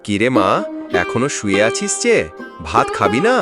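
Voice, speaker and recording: A man's voice: fairly low pitch, wildly swooping pitch, moderate pace, over music. A man playing a worried middle-aged village father in a cartoon fairytale. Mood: gentle. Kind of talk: Bengali cartoon fairytale dialogue.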